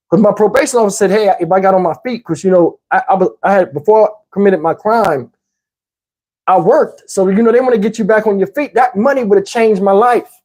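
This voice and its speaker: Husky-voiced American man telling stories. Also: high voice, a little mellow. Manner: slangy, acts out dialogue. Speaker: livestream host telling personal stories. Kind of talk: casual animated storytelling.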